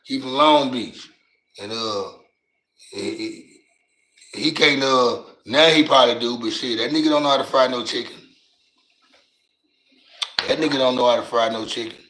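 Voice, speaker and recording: A middle-aged man with a raspy voice and a Louisiana accent, chatting on his livestream in slangy, rambling talk and often tripping over his words. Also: mid-range voice, normal speed, pauses often, sharp tone, echoey room, rough recording. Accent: Louisiana Southern US. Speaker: livestream host. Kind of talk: casual livestream chat.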